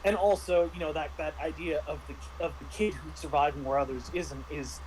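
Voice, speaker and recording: A man's voice: a little high, fairly even intonation, moderate pace, a little mellow, slight background noise, low-quality recording. A man talking casually as a game master recapping a tabletop roleplaying session, animatedly retelling his own thoughts. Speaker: game master and panelist on a review show.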